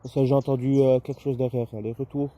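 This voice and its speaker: A man's voice: mid-range voice, little pitch movement, fast, mellow, dull audio. A man chatting casually and a little hesitantly as an amateur radio operator, speaking outdoors in a garden. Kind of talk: casual two-way radio chat.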